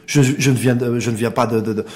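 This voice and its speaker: A man's voice: medium pitch, steady tone, fairly quick, a little mellow. A middle-aged man from Morocco with a Moroccan accent, speaking as an interview guest in an impassioned, emphatic way, repeating words for stress. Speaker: interview guest, founder and director of an organisation.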